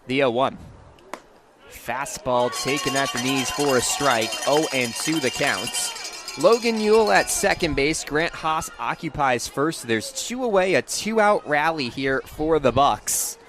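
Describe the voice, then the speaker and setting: A man's voice: medium pitch, animated, unhurried. A young man calling a game live as a sports play-by-play announcer. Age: young adult.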